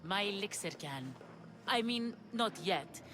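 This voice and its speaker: A woman's voice: mid-range voice, animated, measured pace, noisy background, close to an everyday voice. A woman playing an obsessive, earnest alchemist in a video game, with an intense, precise manner. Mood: eager. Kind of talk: video game cutscene acting.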